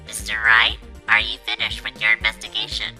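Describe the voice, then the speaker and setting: A woman playing a brisk official escort in a game fan dub, with music behind her. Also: high-pitched, huge pitch swings, creaky, sharp tone, heavily acted. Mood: businesslike. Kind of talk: scripted anime-game fan dub acting.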